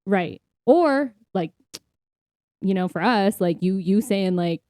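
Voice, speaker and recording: A woman's voice: medium pitch, expressive, slow, mellow, dry recording. Woman podcast host, chatty and informal, really dry room sound. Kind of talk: casual conversational podcast chat.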